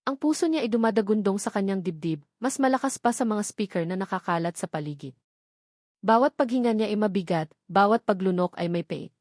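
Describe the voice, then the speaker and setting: A woman's voice: medium pitch, animated, a little fast, a little mellow, no room sound. Filipino woman narrating dramatically, dry studio sound. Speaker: story narrator. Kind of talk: dramatic scripted story narration.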